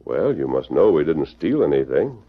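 Masked western hero, extremely low voice, expressive melody, measured, commanding, righteous, muffled vintage radio recording. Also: male voice, exaggerated voice. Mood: calm, probing. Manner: measured, authoritative, probing questions. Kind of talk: old-time radio western acting. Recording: dull old radio recording.

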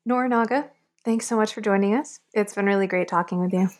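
Woman interviewer, podcast host; warm affirming questions. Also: mid-range voice, quick pace. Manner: friendly, encouraging, brief affirmations. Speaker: interviewer, managing editor. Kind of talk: casual interview.

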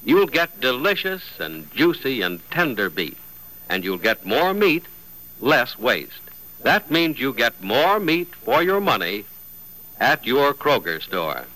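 Bright, animated vintage radio announcer man. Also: mid-range voice, takes it slowly, poor audio. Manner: polished, emphatic. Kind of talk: 1940s radio announcing, scripted narration and sponsor pitch.

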